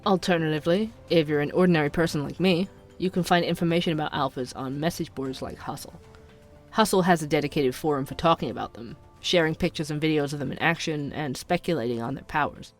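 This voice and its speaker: An actor playing a young podcaster who narrates urban conspiracies in a measured, inquisitive way for an audio drama. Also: medium pitch, faint music behind, natural voice. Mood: intrigued, serious.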